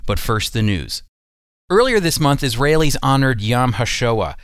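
A man's voice: mid-range voice, sing-song, measured pace, clear, resonant tone, no echo, studio quality. An American man hosting a Bible teaching program, explaining earnestly and emphatically in a prepared talk. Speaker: radio Bible teaching host. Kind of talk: teaching talk with scripted segments.

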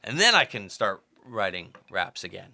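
A man with a very bright, forward voice chats casually as an interview guest. His pitch moves around a lot, giving him an animated, jokey delivery. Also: moderate pace, gravelly. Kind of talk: casual chat.

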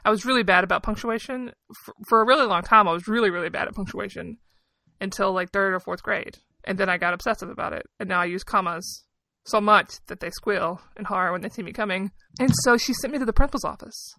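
A woman in her thirties with a bright, forward voice that often crackles into fry. She talks like a podcast co-host, candid and self-deprecating, in a casual storytelling flow. The recording is close and dry. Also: medium pitch, fast talker, no echo. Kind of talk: casual personal storytelling and chat.

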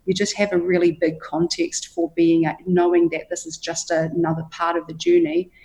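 A middle-aged woman with a New Zealand accent speaks with a fairly low voice. She talks frankly and emphatically, pushing her points like a motivational coach. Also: little pitch movement, normal speed, darkish tone, poor audio. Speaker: leadership coach, guest. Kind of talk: interview answers.

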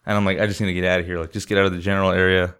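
Low-voiced guy telling slangy stories. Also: very fast, clear, resonant tone. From San Diego, California, United States. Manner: profane, slangy, animated storytelling with acted-out quotes. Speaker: podcast co-host telling a travel story. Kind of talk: casual chat, anecdote telling.

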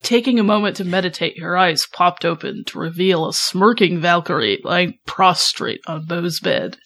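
A woman's voice: lowish voice, normal speed, a bit bright. A woman co-hosting a podcast, giggly and self-conscious, chatting casually and narrating amateur fan fiction. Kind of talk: casual chat and amateur story reading.

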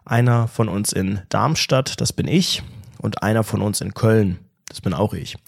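A man's voice: lowish voice, unhurried, creaky, breathy edge, no room sound, clean studio sound. A man co-hosting a casual podcast, telling a story in an ironic, mock-pompous way. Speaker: podcast co-host.

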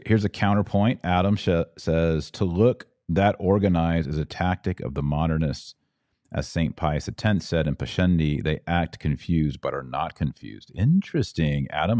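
A man's voice: low voice, darkish tone, no room sound, studio quality. A man hosting a podcast, chatting casually to viewers with wry asides as he comments and reads out their messages. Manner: conversational, wry joking asides. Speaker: podcast host.

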